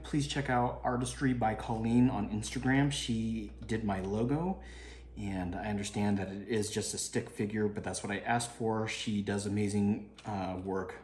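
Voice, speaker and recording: Low-ish voiced dude cooking at home, chill and chatty, kitchen echo, bit of faint noise. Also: male voice, darkish tone. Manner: laid-back, chatty, swears casually. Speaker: home cook vlogger. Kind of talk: casual cooking narration.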